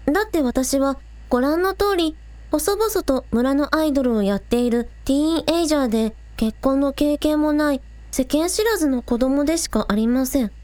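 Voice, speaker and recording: A woman's voice: high-pitched, moderate pace, darkish tone, no echo, lightly acted. A woman playing an earnest, anxious teenage village idol girl in an audio drama. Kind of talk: Japanese audio drama acting.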